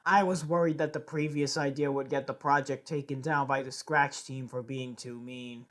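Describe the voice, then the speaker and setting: A woman reading scripted lines aloud in a declamatory way, with sing-song emphasis on her phrases. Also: on the low side. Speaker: amateur animator recording voice lines.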